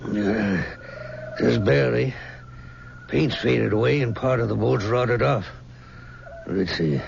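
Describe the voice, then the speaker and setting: An actor playing a matter-of-fact deputy in a 1970s radio drama, with a gravelly voice. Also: male voice, medium pitch, full character voice. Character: gruff deputy investigating a graveyard murder. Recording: dull, noisy old radio recording.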